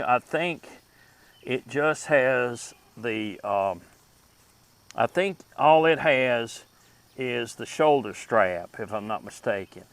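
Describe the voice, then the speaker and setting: A man hosting a casual, unscripted review of collectibles, speaking haltingly as he thinks aloud with pauses. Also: medium pitch, a bit bright. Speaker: host reviewing vintage radio collectibles. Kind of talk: casual unscripted product show-and-tell.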